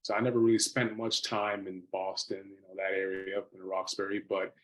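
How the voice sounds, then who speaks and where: An American man from Massachusetts gives casual remarks as an actor at a Q&A. His voice is slightly low. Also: flat delivery, brisk, fairly bright tone. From Framingham, Massachusetts, United States. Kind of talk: casual comment at a Q&A.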